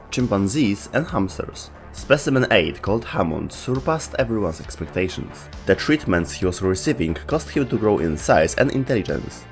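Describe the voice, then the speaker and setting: A man reading scripted narration as the narrator of a story-driven explainer video. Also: fairly low pitch. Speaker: narrator of a game lore video.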